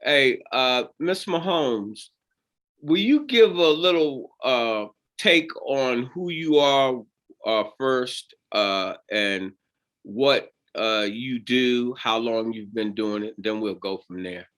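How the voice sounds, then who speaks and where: A man's voice: mid-range voice, slow pace, a bit bright. A man hosting an arts segment, asking long interview questions with many fillers and repeated words. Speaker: interviewer, show host.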